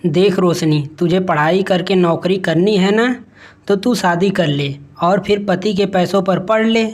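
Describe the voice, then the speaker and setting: A woman's voice: lowish voice, unhurried, a little mellow, voice pushed down. A woman voicing a brash, manipulative young male college boyfriend in a story. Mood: scheming. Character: cocky, scheming young street-tough college boyfriend.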